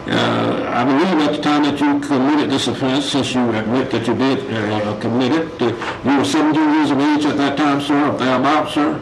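A man's voice: medium pitch, steady tone, normal speed, hardly any pauses. A man on a parole board asking questions at a hearing, formal and hesitant, full of fillers and frequent use of sir. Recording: echoey room with loud noise.

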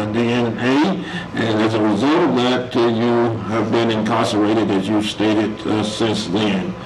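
A man on a parole board asking questions at a hearing, formal and hesitant, full of fillers and frequent use of sir. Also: mid-range voice. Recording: echoey room with loud noise.

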